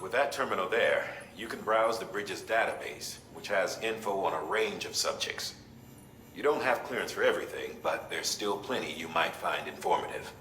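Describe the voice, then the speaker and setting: A man acting as a calm operations staffer in a science-fiction game, with a very deep voice. He explains procedures in a measured, matter-of-fact way. The room echoes and there is clear ambient noise behind him. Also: close to an everyday voice. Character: calm, helpful adult male operations staffer briefing a porter. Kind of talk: video game briefing dialogue.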